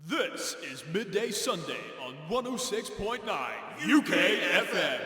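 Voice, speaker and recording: An actor playing a showy radio station announcer, drawing out the words in an ident, with long distant reverb. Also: male voice, sits high, sing-song, measured pace, bright, forceful tone, exaggerated voice. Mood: showy, excited.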